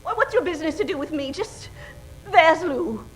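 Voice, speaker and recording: An actress playing a nervous, chatty waitress who stammers and babbles in an old-time radio comedy. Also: female voice, sits high, lively intonation, moderate pace, soft background music. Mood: nervous, flustered.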